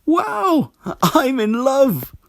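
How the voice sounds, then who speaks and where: Man playing lovestruck storybook frog, eager, earnest, exclaiming, high swooping pitch. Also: wildly swooping pitch, extremely slow, gravelly, no room sound, studio quality, heavily acted. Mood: elated. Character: excitable, lovestruck young male frog, earnest and shy. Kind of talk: children's storybook character reading.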